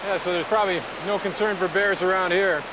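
Man, slightly high voice, curious folksy host, casual questions, hiking outdoors, light ambient noise. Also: fairly even intonation, quick pace. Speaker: show host. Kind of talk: casual chat, asking questions while hiking. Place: outdoors in open coastal tundra, on the move.

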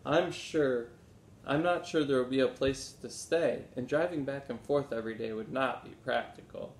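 A man's voice: normal speed, natural voice. A man voicing a kindly, playful grandfather in a children's storybook. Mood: gently teasing. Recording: roomy echo, low rumbling noise.

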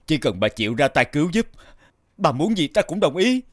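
A man playing an anxious, devoted king in an animated fairy tale, speaking in a formal, courtly way. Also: on the high side, moderate pace, no room sound, clean studio sound. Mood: respectful, pleading.

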